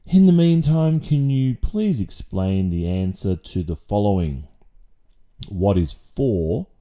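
A dominant, exasperated Australian male teacher, played by a man in an ASMR roleplay. His voice is low and very mellow, with a dark tone. He takes his time over each phrase, dry and sarcastic, with pointed pauses. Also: animated. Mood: stern, exasperated.

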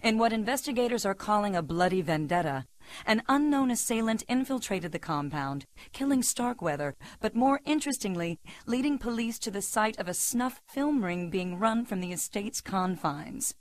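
An actress playing a composed TV news reporter, reading in a formal, measured way. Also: female voice, mid-range voice, expressive, no echo, natural voice.

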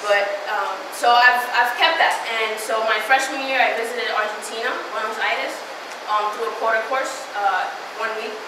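A woman's voice: fairly high pitch, fairly even intonation, moderate pace, hardly any pauses. A young woman from the Dominican Republic whose first language is Spanish, giving a casual, chatty motivational talk to a live audience. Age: young adult. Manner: casual, chatty, with frequent fillers and laughs. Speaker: student speaker. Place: lecture hall before a live audience. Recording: very echoey hall, mic far off, noisy.

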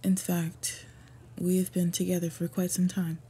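A woman acts out an earnest half-orc suitor in a medieval fantasy roleplay. She speaks in a very breathy, soft voice with a dark, mellow tone. Her delivery is formal and measured, staying fairly flat in pitch as she pleads with devotion. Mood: solemn, nervous. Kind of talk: scripted fantasy roleplay audio.

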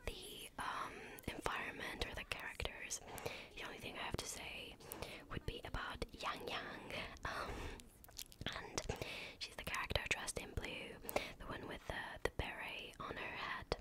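A woman whose first language is French, whispering softly in a chatty ASMR gameplay talk with many fillers. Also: a little slow, low-quality recording. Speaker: gaming ASMR creator. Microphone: desk microphone.

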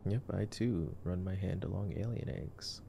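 A young man doing an ASMR boyfriend roleplay as casual movie commentary, talking in a sarcastic, slangy, low-key way. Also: normal speed, gravelly, dark tone, a little hiss or hum.

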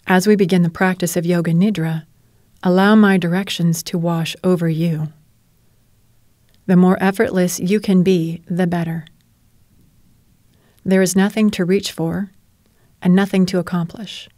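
A woman leading a guided relaxation as a meditation teacher, speaking in a calm, soothing and measured way. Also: on the low side, no echo, studio quality. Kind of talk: guided relaxation narration, yoga nidra.

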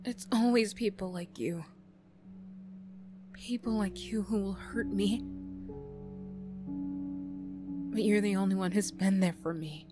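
A woman playing a defensive teenage school bully girl who reluctantly opens up, prickly and halting, in an emotional ASMR roleplay. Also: medium pitch, lightly acted. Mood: grudging, sullen. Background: music.

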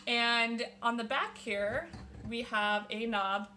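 Young woman, casual how-to host, fairly high voice, faint hum behind, small workshop. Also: fairly even intonation, slow pace, bright, forceful tone. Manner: chatty, explains while handling tools. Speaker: woodworking tutorial host. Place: small home workshop, indoors. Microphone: camera on the bench, close.

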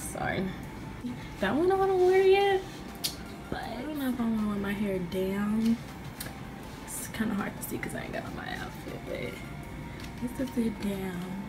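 A young woman in her twenties chatting casually in a vlog from her dorm room, her voice hoarse, filmed on a phone held at arm's length. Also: mid-range voice. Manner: chatty, rambling, everyday. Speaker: vlogger. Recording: noisy phone recording.

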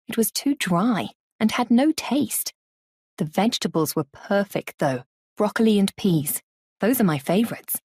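A woman's voice: mid-range voice, darkish tone, no room sound. Woman reading a textbook script, dry sound. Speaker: recorded listening exercise speaker. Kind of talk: scripted read-aloud.

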